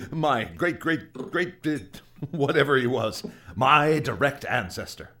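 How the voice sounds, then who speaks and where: An actor playing a genial older American heir in a radio drama, informal and hesitant, with an American accent. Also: male voice, medium pitch, lively intonation, slow, pushed and bright, full character voice. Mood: amused, hesitant.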